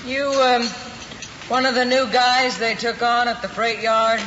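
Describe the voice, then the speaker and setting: An actor with an American accent playing a world-weary, blunt counter worker in a muffled, noisy old television drama. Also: male voice, very high voice, level tone, sharp tone, full character voice. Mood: gruff, casual. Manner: folksy, blunt, unhurried working-class talk. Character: world-weary, plain-spoken older lunch counter worker. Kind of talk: mid-century American television drama acting.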